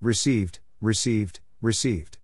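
A man reading scripted radio practice exchanges as an instructor, in short, deliberate, repeated phrases. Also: fairly low pitch, darkish tone, slight background noise. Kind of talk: scripted radio call-sign drill, short spoken phrases.

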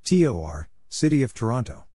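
A man giving a scripted read-out as an instructor, spelling letters and saying names in short, measured, separate phrases. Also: mid-range voice, big pitch swings, dark tone, slightly rough. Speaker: Morse code practice instructor. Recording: noisy recording with clicks.

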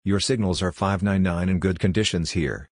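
A man's voice: low-pitched, steady tone, moderate pace, noisy background. A man reading short, separate scripted phrases as an instructor for a listening drill. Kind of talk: scripted phrase readout for Morse code drills.

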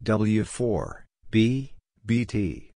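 A man reading scripted short exchanges as a practice narrator, deliberately spelling out letters and numbers one at a time. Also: lowish voice, animated, warm tone, slight background noise. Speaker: narrator of Morse code practice.

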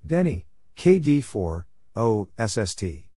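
A man with a fairly low, very mellow voice delivers a practice readout. He gives short, separate phrases and spells out letters and numbers one by one. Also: big pitch swings, slow pace, slightly rough, no room sound, studio quality. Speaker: Morse code practice narrator. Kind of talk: spoken readout for code practice.

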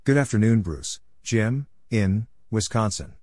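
A man reading out short scripted phrases as a practice narrator, deliberately spelling things letter by letter. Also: fairly low pitch, expressive, a little mellow, a little hiss or hum. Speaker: Morse code practice narrator.

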